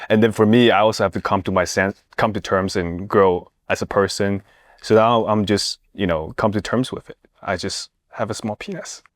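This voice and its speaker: A man's voice: fairly low pitch, fairly even intonation, normal speed, no room sound, studio quality. A man from China with a Chinese accent and Mandarin as his first language, hosting a playful casual chat and teasing his guest. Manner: teasing, joking. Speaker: podcast host.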